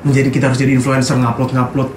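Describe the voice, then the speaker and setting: A man's voice: medium pitch, monotone, rapid-fire, a loud crowd. A young man with a colloquial Jakarta Indonesian accent, chatting informally and in slang as an interview guest. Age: young adult. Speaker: band member, interview guest. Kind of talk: casual interview chat. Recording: roomy echo, faint background noise.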